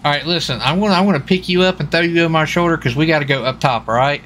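A man role-playing a police officer in a casual, improvised game, talking in a folksy, excitable way and often repeating himself. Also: a little high, fast. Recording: faint background noise, game sirens. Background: game sirens and vehicle sounds.

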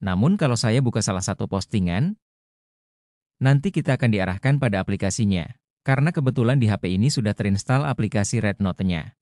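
A man's voice: fairly low pitch, animated, brisk, darkish tone, dry recording, clean studio sound. A man narrating an instructional screen-recording tutorial in a methodical, step-by-step manner. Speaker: tutorial host.

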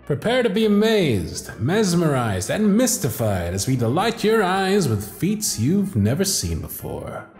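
Man as a flamboyant stage magician, lively and theatrical. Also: fairly high pitch, a little slow, hardly any pauses, reverberant, soft background music, heavily acted. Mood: grand, showy. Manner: grand, theatrical showman patter. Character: flamboyant, confident male stage magician, playful charmer. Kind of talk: scripted ASMR audio roleplay.

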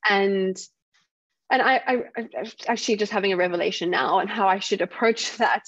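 A woman from South Africa with a softened South African accent, a guest telling stories in a casual interview and acting out what other people say. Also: mid-range voice, moderate pace, dry recording, highs cut off. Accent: South African, softened by years in the UK. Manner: animated storytelling, acts out other people's remarks. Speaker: guest speaker.

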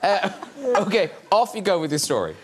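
A man's voice: high-pitched, big pitch swings, fairly quick. A middle-aged man hosting a talk show, firing off mocking asides with frequent laughter in a TV studio. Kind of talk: teasing studio banter. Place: TV studio with a live audience. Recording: loud studio audience and room noise.

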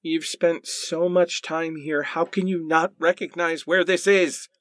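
A man's voice: fairly high pitch, fairly even intonation, unhurried, fairly bright tone, dry recording, clean studio sound. A man playing an exasperated, melodramatic, sarcastic character in a comedic radio play.

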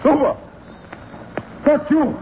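An actor playing a menacing, suave, mock-courteous villain in a 1940s radio drama. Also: male voice, extremely high pitch, slow pace. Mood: panicked. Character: menacing, sinister male villain with a murderous caged servant. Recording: noisy, muffled old 1940s radio recording.

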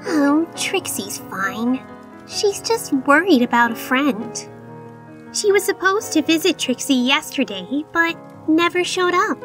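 A vain young unicorn showgirl, acted by a woman in a cartoon dub. She speaks high and slowly, with haughty, melodramatic flair, over faint music. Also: expressive. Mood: earnest. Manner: haughty, melodramatic, speaks of self in third person. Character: vain, theatrical young female unicorn pony magician. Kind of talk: cartoon comic dub acting.

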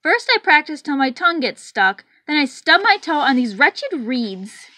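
A woman voicing a sniveling, complaining male anteater bassoonist from a storybook, whiny and lisping. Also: sing-song, moderate pace, pushed and bright, no room sound, exaggerated voice. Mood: whiny frustration.

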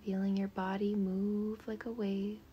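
Slow, soft-voiced woman guiding meditation. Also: medium pitch, level tone, dull audio, soft background music. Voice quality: soft, breathy, half-whispered. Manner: gentle, soothing, unhurried. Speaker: meditation guide. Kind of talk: guided meditation narration.

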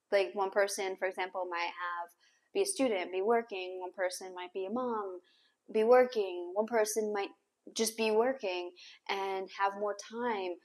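A young adult woman hosting a podcast, giving casual advice to camera in an encouraging, self-correcting way. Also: mid-range voice. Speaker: podcast host. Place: small bare room indoors with hard floor. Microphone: clip-on lapel mic.

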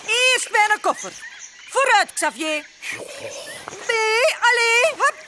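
A woman's voice: very high-pitched, lively intonation, very noisy, heavily acted. A woman voicing a vain, whining, imperious wife from a comic book read aloud. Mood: bossy, whining. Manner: whiny, imperious, drawn-out.

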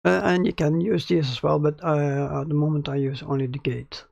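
A man's voice: fairly high pitch, flat delivery, normal speed, darkish tone, dry recording, dull audio. A man hosting a casual audio tutorial in a small home studio, with a crackly voice and a hesitant way of talking full of fillers and restarts. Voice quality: crackly, gravelly voice. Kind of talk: casual screen-recorded tutorial. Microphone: close studio mic about ten centimeters away.

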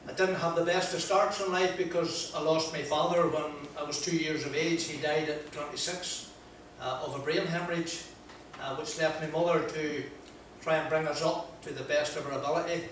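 An older Northern Irish man tells a rambling, self-deprecating story to a seated audience, with his voice a touch high for a man. He is picked up from some distance off, and a big echoey room rings around him. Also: steady tone, fairly bright tone, slight background noise. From Northern Ireland. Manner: chatty, self-deprecating, frequent "uh" pauses and laughs. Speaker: motivational speaker. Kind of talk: anecdotal motivational talk. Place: large wood-panelled function room, audience present. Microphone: handheld mic.

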